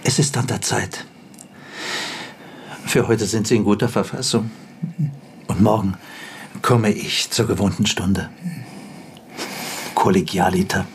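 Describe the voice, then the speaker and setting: A man acting a sober physician in a radio play, speaking in clipped, detached phrases with quiet authority. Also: on the low side, steady tone, takes it slowly, long pauses, a little hiss or hum. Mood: clinical, subdued.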